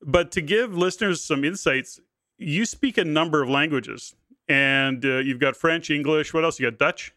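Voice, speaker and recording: A man's voice: on the high side, normal speed, sharp tone, no room sound. A man hosting a podcast interview, speaking in an enthusiastic, affirming way. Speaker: podcast host. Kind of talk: conversational interview.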